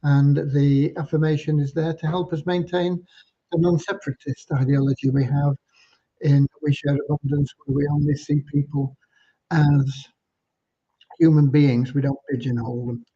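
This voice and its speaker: A man from Cornwall hosting an informal livestream, talking in an unhurried, earnest, slightly preachy way. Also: a little high, level tone, dark tone, slightly rough. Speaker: livestream host and community leader. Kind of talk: informal livestream updates with devotional talk. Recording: dull lo-fi livestream audio.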